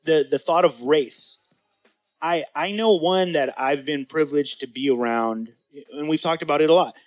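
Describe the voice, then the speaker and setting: A man's voice: a little high, normal speed, faint music behind, poor audio. A man hosting a casual podcast conversation, earnest and agreeable, restarting his sentences often. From San Diego area, US. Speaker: podcast host.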